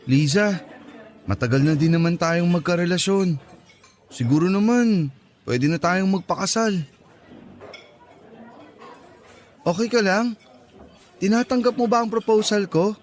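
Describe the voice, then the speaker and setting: A man's voice: sits high, normal speed, long pauses, natural voice. A man playing a cheeky, devoted young son and boyfriend in a radio drama, casual and playful. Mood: earnest.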